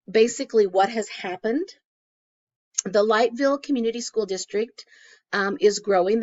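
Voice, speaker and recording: A woman with a crackly, hoarse voice walks through a case study for a class in a loose, conversational way, often pausing on "um". Her pitch sits in the middle range. The recording sounds dull and lo-fi. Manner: conversational, many "um" fillers. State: hoarse from a cold. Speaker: graduate student presenting a case study.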